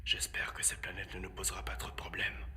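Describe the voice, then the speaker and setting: A man's voice: sits low, completely flat delivery, fast, pushed and bright, in a whisper. A man playing a stern, formal military officer in the service of a feared emperor, in a French fan-made anime drama. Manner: authoritative, formal.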